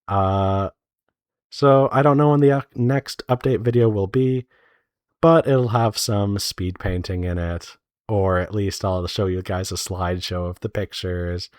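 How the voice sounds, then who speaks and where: Low-voiced man rambling through a casual update. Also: no room sound, studio quality. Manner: rambling, thinking aloud with trailing hedges. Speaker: indie visual novel developer giving a devlog update. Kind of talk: casual unscripted devlog chat.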